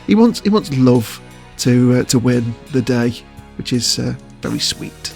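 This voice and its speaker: A man's voice: mid-range voice, lively intonation, measured pace, creaky, a little mellow, faint music behind. A man giving casual interview answers as a voice actor, choosing his words with hesitation and often chuckling.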